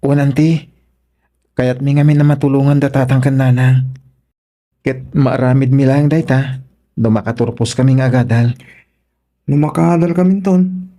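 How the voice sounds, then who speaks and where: A performer playing an eager, obedient provincial youth in a radio drama. The voice is young and mid-pitched, polite to elders and earnest, with an Ilocano accent. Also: steady tone, moderate pace, pauses often, warm tone, lightly acted. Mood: grateful, earnest.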